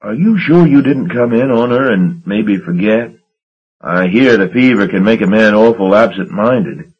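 A man's voice: on the low side, animated, a little slow, husky, voice pushed up. A man voicing a flustered, gaunt young shipping agent who stammers and babbles. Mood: nervous babbling. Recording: dull lo-fi recording.

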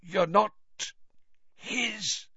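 Give me a man playing a frantic old official, breathless and muttering, high and strained. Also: lively intonation, moderate pace, a bit bright, dry recording. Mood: desperate gasping. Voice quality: breathless, broken gasping phrases. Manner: mutters manic orders to the air. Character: older man, a haggard raving official. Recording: slightly dull lo-fi recording.

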